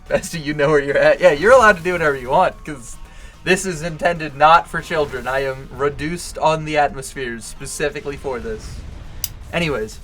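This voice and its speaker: A man streaming who deliberately talks in a higher, feminized voice, rambling, swearing and laughing as he chats and tells a joke into a cheap desk mic. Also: fairly bright tone. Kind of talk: casual stream chat and joke telling. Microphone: cheap desk mic with poor pop filter.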